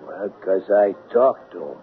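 Low-voiced, hesitant barfly. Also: male voice, expressive, a little slow. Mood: hesitant, sympathetic. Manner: hesitant, folksy, uneducated phrasing. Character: down-and-out male barfly cadging a drink. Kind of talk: 1970s radio drama acting. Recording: muffled, faintly noisy 1970s radio recording.

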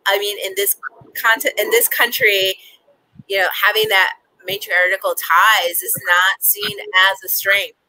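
A young woman on a video call, speaking in a lively way and laughing between phrases. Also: medium pitch, measured pace, no room sound, rough recording. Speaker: panelist.

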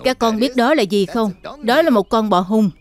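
A man voicing a patient, caring adult bear teacher in a children's cartoon dub, gentle and instructive. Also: sits high, lively intonation, normal speed. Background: background music.

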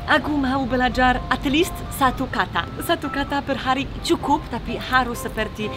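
A young woman with a Polish accent vlogs in a casual, chatty way. Her voice is fairly high, and she speaks her second language simply and haltingly, with music clearly playing behind her. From Poland. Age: young adult. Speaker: vlogger. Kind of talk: casual chat to camera. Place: outdoors on a beach.

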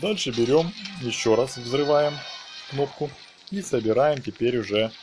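A man's voice: medium pitch, a little slow. A man hosting a gaming video, commenting casually on his own play in short phrases. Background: game music.

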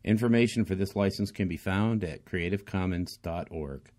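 A man's voice: low voice, moderate pace, a little mellow. A man co-hosting a tabletop gaming podcast, chatting casually, joking and laughing often. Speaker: podcast co-host. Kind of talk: casual chat.

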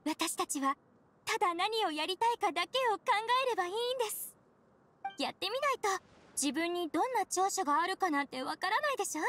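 A woman's voice: extremely high pitch, expressive, fairly quick, fairly bright tone, a little hiss or hum. A woman playing a polite, earnest, contented young society member in game dialogue.

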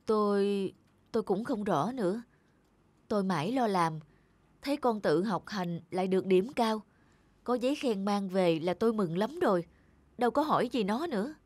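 A woman voicing a hardworking, worried mother in a cartoon, speaking softly in a subdued, regretful way. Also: mid-range voice, lively intonation, normal speed, no room sound, natural voice. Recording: clear background music.

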